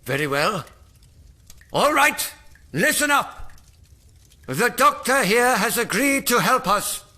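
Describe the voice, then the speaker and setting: An actor playing an earnest rebel leader in an audio drama, with a declamatory manner. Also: male voice, very high-pitched, very animated, slow pace, sharp tone, full character voice. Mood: rousing. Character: earnest male rebel leader rallying oppressed workers.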